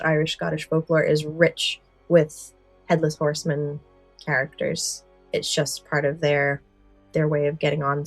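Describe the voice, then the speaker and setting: A woman's voice: sits low, dry recording, poor audio. A woman co-hosting a podcast, explaining a favourite subject with enthusiasm, moving between prepared summary and casual discussion. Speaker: podcast co-host.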